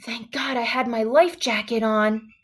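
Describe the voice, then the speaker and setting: A woman reading a children's story aloud in an expressive, dramatic way, leaning on key words and exclamations. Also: a little high, a little slow, slightly rough. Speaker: storybook reader.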